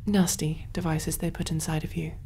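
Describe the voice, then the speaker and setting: A woman voicing a kind, calm alien medic in a soft, breathy, reassuring ASMR roleplay style. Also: low voice, normal speed, slight background noise. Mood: soothing, attentive. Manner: gentle, hushed, reassuring, often near whisper.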